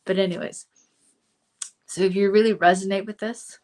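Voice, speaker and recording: A middle-aged woman talking to her viewers in a casual live stream, her pitch sitting in the middle range. She chats loosely, with plenty of fillers and asides. Her voice is slightly hoarse from a cold. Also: fairly even intonation, moderate pace, darkish tone. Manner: chatty, with frequent fillers and asides. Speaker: coach hosting a live stream.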